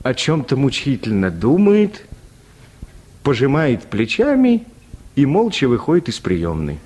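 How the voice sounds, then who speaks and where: A male narrator reads a dramatized literary story for radio. His voice sits at a medium pitch and moves up and down with lively intonation. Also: a little slow.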